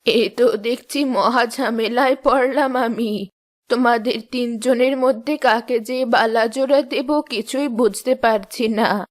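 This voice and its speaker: A woman's voice: on the high side, fairly even intonation, slow pace. A woman playing a commanding, firm mother-in-law who runs her household in a fairy-tale cartoon. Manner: bossy, declarative, firm.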